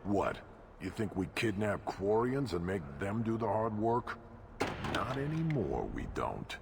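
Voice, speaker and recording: Very deep, grumpy alien mechanic. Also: male voice, sing-song, a little slow, full character voice. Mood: grumbling. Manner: sarcastic, surly. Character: grumpy male krogan mechanic, gruff hulking alien. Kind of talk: video game voice acting. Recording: clear noise, vehicle hum behind.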